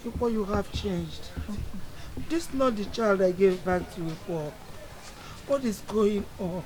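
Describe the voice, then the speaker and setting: A woman's voice: mid-range voice, unhurried, a little mellow, close to an everyday voice, low-quality recording. An actress playing a heartbroken mother pleading with her daughter. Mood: anguished. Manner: pleading, grieving.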